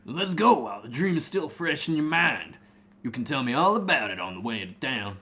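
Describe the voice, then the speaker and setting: Man playing a wary desert guide, very animated pitch. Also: fairly quick. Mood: wry. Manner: matter-of-fact, advising. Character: seasoned, wary male desert nomad guide.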